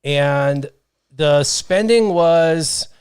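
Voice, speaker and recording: A man's voice: fairly high pitch, drawn-out words, darkish tone, clear, resonant tone, dry recording. A man in his thirties hosting a casual livestream chat, telling stories animatedly and reading viewer comments from a small studio on a stand mic. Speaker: host. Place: small studio with brick wall. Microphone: studio mic on stand, headphones.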